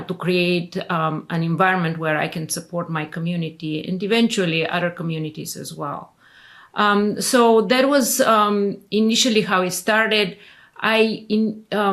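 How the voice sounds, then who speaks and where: Gravelly-voiced woman from Bulgaria. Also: on the low side, a bit bright. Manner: hesitant, many fillers and restarts. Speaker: immigration attorney guest. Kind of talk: casual interview talk.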